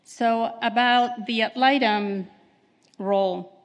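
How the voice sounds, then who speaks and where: A woman attorney giving a measured, formal conference presentation in a soft voice. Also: on the high side, steady tone. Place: conference hall. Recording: reverberant hall.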